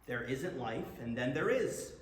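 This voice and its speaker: A man's voice: mid-range voice, sing-song, a little slow. Man acting nerdy student game master, swooping voice. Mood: argumentative. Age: young adult. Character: male college student game master, nerdy, argumentative space geek. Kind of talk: staged play reading. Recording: echoey hall, long reverb, background noise.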